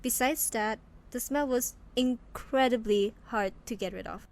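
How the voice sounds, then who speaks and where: A woman's voice: a little high, unhurried. A woman playing a deferential, formal female underling in a crime audio drama, with an earnest, careful manner. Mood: worried. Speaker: voice actor. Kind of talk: audio roleplay drama acting.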